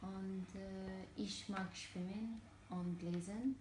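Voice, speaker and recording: A woman from Syria whose first language is Arabic, speaking careful, slow learner's German with some hesitation. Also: medium pitch, fairly even intonation, a little mellow, echoey room, a little hiss or hum. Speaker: German learner in a practice interview.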